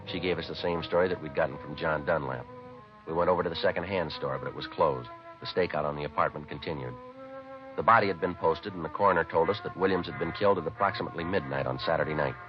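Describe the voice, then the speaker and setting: A very deep-voiced American police detective, terse and businesslike. He speaks quickly in a flat, matter-of-fact way, as in old radio crime drama. The vintage recording is limited in tone, with clear music behind. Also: muffled recording. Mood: deadpan narration. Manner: deadpan, clipped, matter-of-fact. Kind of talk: 1950s radio police drama acting and narration.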